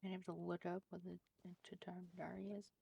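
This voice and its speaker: A woman's voice: on the low side, level tone, fast talker, vocal fry, warm tone, slight background noise. A woman livestreaming a game with casual commentary, making chatty asides to viewers and repeating short phrases. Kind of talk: casual live gameplay commentary.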